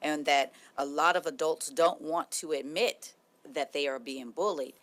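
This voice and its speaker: A woman's voice: low voice, unhurried, a bit bright. A woman hosting a talk show, emphatic and speaking straight to her listeners. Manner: emphatic, addresses listeners directly.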